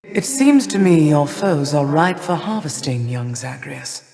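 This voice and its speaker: A woman playing an imperious, cold older goddess of winter and harvest in a video game, with stately, formal, measured delivery. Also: deep voice, lively intonation, creaky, warm tone, heavily acted. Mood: regal, austere. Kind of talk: theatrical video game character lines. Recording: long reverberant hall echo.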